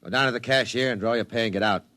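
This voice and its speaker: An actor playing a ruthless, domineering business tycoon in a 1950s radio drama, with a commanding, curt manner. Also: male voice, mid-range voice, racing, pushed and bright, lightly acted. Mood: cold, angry.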